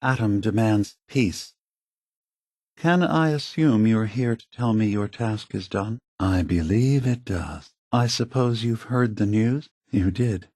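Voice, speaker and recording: An actor playing an aging prototype android leader in a video game, a gentle, philosophical idealist with a soft, husky voice and measured, earnest phrasing. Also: male voice, lowish voice, no room sound, studio quality. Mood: calm, courteous. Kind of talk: acted video game dialogue.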